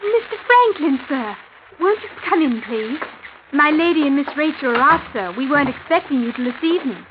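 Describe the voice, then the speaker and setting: A woman's voice: high voice, expressive, fairly quick. A woman playing a polite, chatty young servant in an old radio drama. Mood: polite, welcoming. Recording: tinny, noisy old radio recording.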